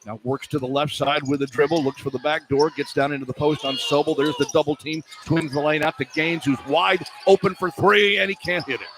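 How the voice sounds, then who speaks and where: A man's voice: fairly high pitch, fairly even intonation, a little fast, few pauses. A man calling live basketball on the radio as play-by-play announcer, describing the action in clipped running phrases. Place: indoor sports arena. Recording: live arena broadcast with background noise.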